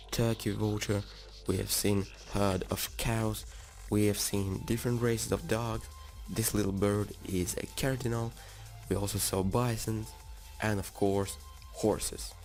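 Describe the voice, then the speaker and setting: Raspy, hoarse young-sounding man narrating. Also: on the low side, darkish tone. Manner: enthusiastic, informal, addresses viewers. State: sick, hoarse. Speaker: gaming YouTuber. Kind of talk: game preview voice-over.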